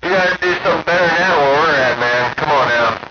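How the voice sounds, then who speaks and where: A man's voice: a little high, fast. A man rambling in crude, mocking banter as an operator over CB radio. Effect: CB radio transmission. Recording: muffled, very noisy CB radio transmission.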